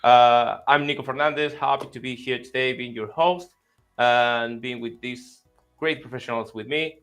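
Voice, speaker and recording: A man's voice: medium pitch, fairly even intonation, moderate pace, fairly bright tone, soft background music. A man hosting an online panel chat, friendly and rambling with many fillers. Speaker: host.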